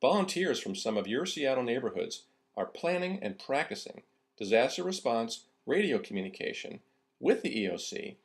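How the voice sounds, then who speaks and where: A man reading scripted narration for an instructional video. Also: mid-range voice.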